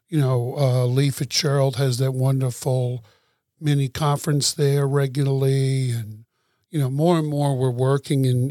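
A man hosting an interview, gentle and unhurried, often laughing as he talks. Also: medium pitch, no room sound, studio quality. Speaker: interview host. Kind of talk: casual interview talk.

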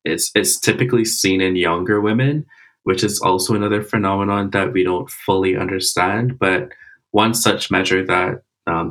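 A man, a cancer researcher giving measured, explanatory interview answers with "uh" and "you know" fillers. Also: low voice, a bit bright, rough recording. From Barbados.

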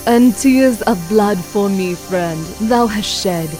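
A woman voicing a tipsy, teasing lady with archaic, measured declamation in an audio drama. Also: mid-range voice, warm tone, faint music behind, lightly acted, poor audio. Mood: tender.

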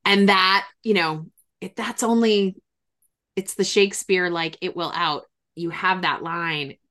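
A woman's voice: lowish voice, fairly even intonation, a little slow, pushed and bright. A woman hosting a podcast in a casual conversational interview, speaking in an effusive, emphatic way. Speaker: podcast host and grief expert.

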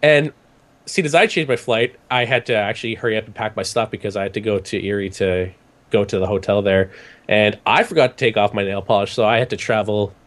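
A young man from Canada, hoarse from a cold, telling a rambling story in casual chat with many restarts. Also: on the low side, fairly even intonation, brisk. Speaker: podcast guest recounting a trip.

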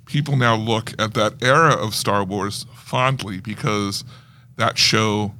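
A man's voice: on the low side, takes it slowly, sharp tone. A man co-hosting a casual chat show, explaining fan lore at length in a geeky way. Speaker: podcast co-host reviewing film news.

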